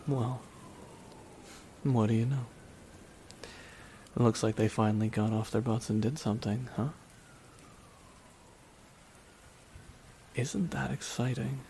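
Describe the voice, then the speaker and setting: A man voicing a grieving supervillain, bitter yet tender, in a slow, halting ASMR roleplay monologue. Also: medium pitch, fairly even intonation, vocal fry, mellow, slight background noise, close to an everyday voice. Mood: sardonic. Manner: slow, halting delivery with heavy pauses. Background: rain and music.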